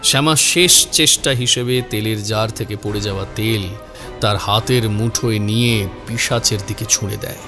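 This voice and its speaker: A man's voice: lowish voice, normal speed. Male horror story narrator over music.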